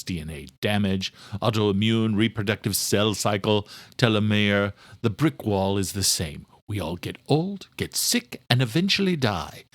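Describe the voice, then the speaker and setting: Casting: male narrator as older male researcher, proud and obsessive, lively intonation, emphatic lecturing delivery, close dry sound. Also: lowish voice, no room sound, full character voice. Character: obsessed, aging male scientist, proud and insistent. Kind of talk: audiobook character dialogue.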